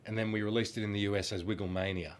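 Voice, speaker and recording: A man's voice: lowish voice, little pitch movement, very fast. A middle-aged Australian man with a blocked nose from a cold, chatting casually through fan questions in a friendly, rambling way with lots of ums. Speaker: former children's band singer answering fan questions.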